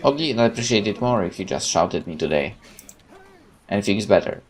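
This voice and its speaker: Guy with a lowish voice reading a visual novel out loud, dramatic storytelling plus casual asides. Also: animated, a little fast. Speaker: gaming channel host.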